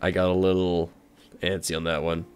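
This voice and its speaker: A man's voice: deep voice, flat delivery, moderate pace, clear, resonant tone. A man doing casual let's play commentary as a streamer, talking to himself informally with joking asides. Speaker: video game streamer.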